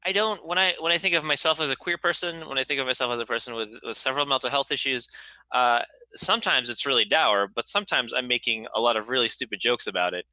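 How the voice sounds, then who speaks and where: A man's voice: mid-range voice, quick pace, pushed and bright, no room sound, rough recording. A man giving candid, reflective answers as a game writer, hesitant and self-deprecating as he thinks aloud. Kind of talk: candid conversational panel answer.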